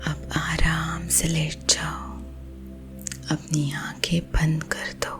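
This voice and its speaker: A woman with an Indian accent guiding sleep hypnosis in a husky, breathy ASMR whisper, gentle and soothing. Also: low voice, monotone. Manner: slow, soothing, gentle, hushed. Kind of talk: whispered ASMR guided visualisation. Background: soothing music.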